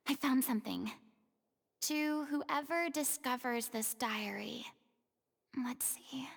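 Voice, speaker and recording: An actress voicing a young, earnest, compassionate woman investigator in a video game, speaking softly and half-whispering. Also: sits high. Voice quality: soft, breathy, partly whispered. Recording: game audio with long reverb.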